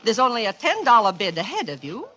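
High, lively lady auctioneer. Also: exaggerated voice. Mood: gracious, gentle. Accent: American. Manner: auctioneer's crisp, drawn-out calls. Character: brisk, gracious lady auctioneer. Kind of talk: 1940s radio acting. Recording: noisy vintage 1940s radio recording.

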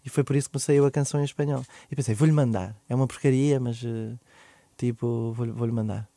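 A man in his thirties with a European Portuguese accent, chatting as a podcast guest. His voice is warm and mellow, recorded close and dry, and he tells his story in quick, animated bursts that drop into quiet, trailing asides. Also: medium pitch, no room sound. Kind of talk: casual interview storytelling.

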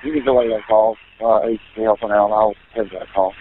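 Fast-talking male officer on tinny radio. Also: lowish voice, steady tone. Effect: police radio. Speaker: police officer. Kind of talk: terse radio traffic. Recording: tinny police radio with faint noise.

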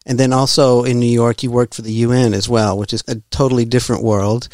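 A man hosting an interview podcast, reading a scripted intro and then asking questions calmly. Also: medium pitch, quick pace, no echo, clean studio sound. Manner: calm, brief affirming murmurs. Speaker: podcast host. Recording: music bed under the voice.

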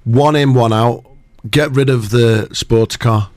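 A man's voice: mid-range voice, slow, darkish tone, no room sound. A man co-hosting a radio show, teasing his friend in a laid-back, dry way. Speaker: radio co-host. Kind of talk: casual banter.

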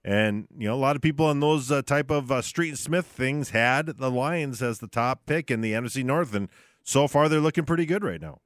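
A man's voice: mid-range voice, fairly quick, no echo, clean studio sound. A man co-hosting a radio sports show, talking casually and conversationally, often checking in with short tag questions. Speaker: sports radio host in studio. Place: radio studio.

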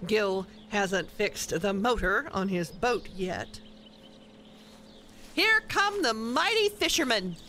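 A woman playing an opinionated, folksy older wife who briskly meddles, in a radio play. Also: mid-range voice, expressive, bright, forceful tone, slight background noise. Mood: enthusiastic.

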